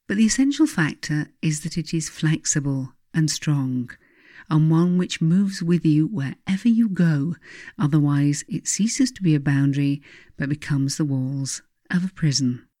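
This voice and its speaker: A woman reading her own spiritual self-help book as scripted narration, speaking deliberately and earnestly straight to the listener. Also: low voice, dark tone, no room sound, clean studio sound.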